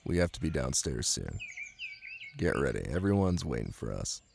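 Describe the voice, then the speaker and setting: A man's voice: big pitch swings, moderate pace, gravelly, a little mellow, a little hiss or hum, exaggerated voice. A man playing a brooding, possessive young mafia heir and new husband in an intimate roleplay audio drama, blunt and commanding yet protective. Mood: brisk, commanding. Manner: blunt, commanding, swearing, low-key intimate delivery.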